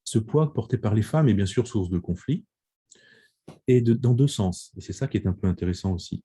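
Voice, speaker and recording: A man giving a video-conference lecture on survey findings, speaking in measured phrases with frequent restarts and hesitations. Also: on the low side, lively intonation, warm tone, husky. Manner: measured, many restarts and pauses with "euh". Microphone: video call.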